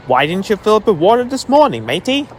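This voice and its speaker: A man's voice: high-pitched, big pitch swings, quick pace, slight background noise, pitched above the performer's own voice. A man voicing a cheerful old seafaring male engine with a hearty, matey way of talking. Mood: chiding. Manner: hearty, calls others matey.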